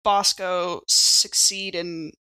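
A woman's voice: on the low side, fairly even intonation, extremely slow, sharp tone, clear, resonant tone, no room sound, low-quality recording. A woman chatting casually as a player in a tabletop role-playing game, thinking aloud in an informal way.

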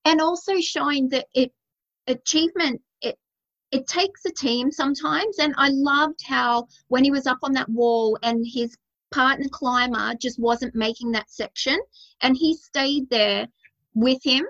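A woman's voice: high-pitched, unhurried, a bit bright. A woman podcast host, chatting in an effusive, enthusiastic way. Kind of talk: conversational interview. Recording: slightly dull audio.

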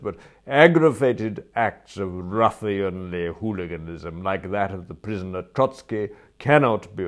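A man's voice: deep voice, lightly acted. Man playing stern, ponderous old-school court magistrate. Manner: ponderous, formal, deliberate. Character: stern, coldly pompous police court magistrate.

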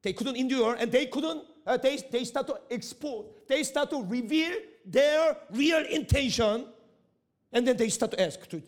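A middle-aged man with a Korean accent, whose first language is Korean, preaching a sermon in halting English with restarts and rising emphasis. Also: very high voice, expressive. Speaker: pastor, missionary.

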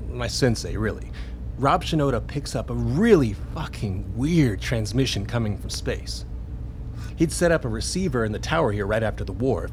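A man's voice: mid-range voice, slight background noise, natural voice. A man playing an enthusiastic, sweary hacker researcher in a radio play, explaining things casually. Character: excitable, laid-back hacker researcher, obsessed with mysterious transmissions.

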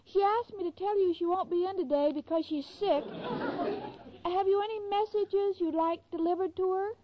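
A woman's voice: extremely high pitch, moderate pace, a crowd in the background. An actress playing an innocent, ditzy young woman in a 1940s radio sitcom, with a naive, plaintive manner. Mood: earnest, naive. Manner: naive, literal-minded, plaintive. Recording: dull, noisy 1940s radio recording.

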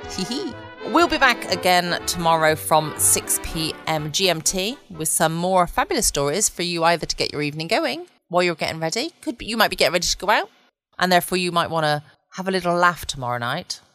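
A woman chats as a late-night podcast host. Her voice is on the low side but lively, with soft music underneath. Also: fairly quick. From United Kingdom. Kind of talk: chatty bedtime podcast.

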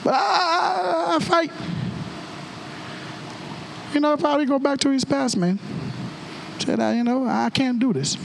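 A man with a Haitian accent, a native Creole and French speaker, preaching a storytelling sermon in an animated way and acting out dialogue with exclamations. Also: very high-pitched, measured pace, creaky, long pauses, slight background noise, poor audio.

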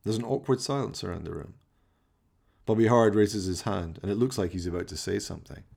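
A man's voice: fairly low pitch, a little fast, gravelly, heavy background noise. A man reading scripted radio play narration in a melodramatic storytelling manner.

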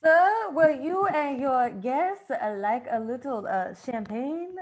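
Woman as high-voiced sitcom-style mom, theatrical, exasperated, improvised comedy. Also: animated, slow pace, highs cut off. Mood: genteel, hesitant. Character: indignant champagne-offering mother of a grown son.

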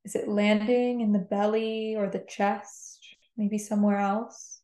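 A woman leading a guided meditation with gentle, soothing instructions. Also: medium pitch, flat delivery, a little slow. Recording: roomy, echoing sound.

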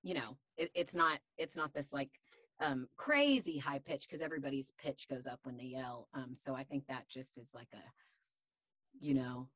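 A woman voice actor with a deep voice, talking candidly and chattily in an interview, with many fillers. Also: normal speed, fairly bright tone, dry recording. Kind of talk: casual interview chat.

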